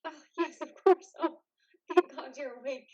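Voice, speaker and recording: A woman's voice: sits high, moderate pace, a little mellow, highs cut off, lightly acted. A woman playing a veteran's anxious, tender wife in a play reading, sounding worried. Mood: relieved, anxious. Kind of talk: live amateur play reading.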